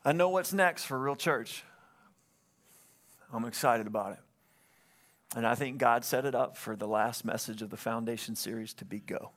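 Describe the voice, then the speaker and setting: A man's voice: medium pitch, normal speed, pauses often, fairly bright tone. A man pastoring a church, preaching earnestly from the stage, often calling out for an amen and repeating phrases as he goes. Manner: earnest, calls for amens, repeats himself. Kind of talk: sermon. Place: church sanctuary. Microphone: stage microphone.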